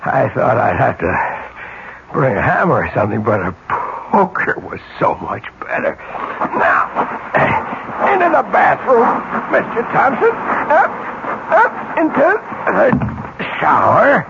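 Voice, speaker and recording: A once-meek man turned elated, cold-blooded killer in a 1970s radio mystery. His voice swings dramatically up and down, stammering with excitement and menacing in its politeness. The recording is dull and noisy. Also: fairly high pitch, slow pace, vocal fry, full character voice. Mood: quietly menacing.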